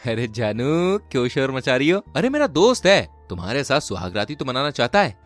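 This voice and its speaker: A two-faced, lecherous young groom from a horror cartoon, played by a man. He is smarmy and mocking, with mid-pitched, very lively intonation over music. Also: moderate pace, natural voice. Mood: mocking, callous.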